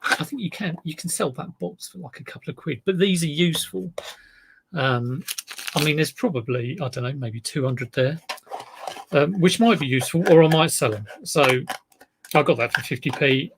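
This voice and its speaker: A man's voice: on the high side, moderate pace, creaky, a little hiss or hum, poor audio. A man with a South East London drawl, chatting casually on a live stream, rambling about his sales and reading out viewer comments. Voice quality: relaxed drawl. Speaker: eBay reseller livestream host.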